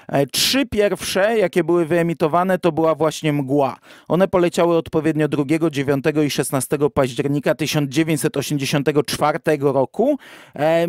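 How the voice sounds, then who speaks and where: Podcast host: man, voice a little above average pitch, casual explainer, very dry sound. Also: a little mellow, no echo.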